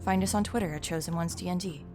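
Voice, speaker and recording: A woman's voice: on the low side, quick pace. A woman announcing a scripted podcast advertisement. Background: music.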